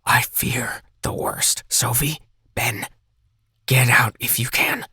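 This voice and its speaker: A man playing an anxious, caring, gently chiding father in a children's audio drama. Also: mid-range voice, fairly even intonation, takes it slowly, slightly rough, dry recording, in a whisper, clean studio sound, full character voice. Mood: alarmed.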